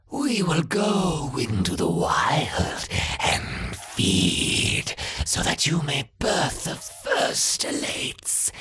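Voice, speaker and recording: A menacing half-human, half-ant hive creature for a video game. It speaks very slowly in a breathy, shredded rasp, solemn and devoted to its queen. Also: medium pitch, bright, forceful tone, full character voice. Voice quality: whispery, rasping, breathy.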